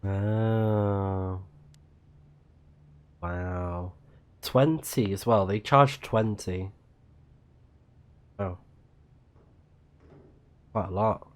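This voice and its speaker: Low-voiced male game streamer, muttering. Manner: muttering reactions, halting when reading aloud. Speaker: video game livestreamer. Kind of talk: casual let's play commentary, reading on-screen text aloud.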